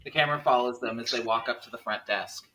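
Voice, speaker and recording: Man with bright, sharp narrating voice. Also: mid-range voice, fast talker, slight background noise, poor audio. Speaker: narrator reading stage directions. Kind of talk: script narration at a table read.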